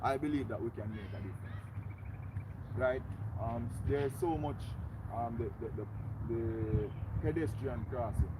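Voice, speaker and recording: A young Jamaican man with a strong, carrying voice makes an earnest, rallying pitch to his neighbours at an outdoor meeting. His voice sits at a middling pitch for a man. Heavy road traffic rumbles loudly behind him. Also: a little slow. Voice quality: strong, loud voice. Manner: earnest, rallying, tags lines with "right?". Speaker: community organizer. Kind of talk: outdoor community meeting speech. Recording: loud traffic noise behind. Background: passing cars.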